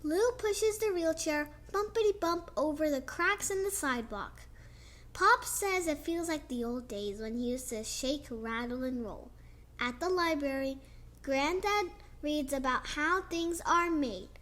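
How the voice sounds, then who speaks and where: Slow, high-voiced girl reading aloud. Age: child. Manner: slow, expressive reading with chatty asides. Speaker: child storyteller. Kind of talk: reading a picture book aloud.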